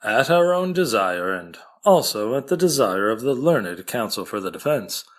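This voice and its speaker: A man's voice: mid-range voice, big pitch swings, normal speed, natural voice. A man playing an earnest, formal prosecutor addressing the court in an 1893 trial drama. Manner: formal, oratorical.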